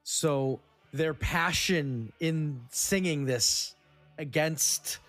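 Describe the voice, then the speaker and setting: A man's voice: fairly high pitch, drawn-out words, fairly bright tone. A man hosting a casual film podcast, chatting in a jokey, easily amused and affirming way. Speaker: podcast host.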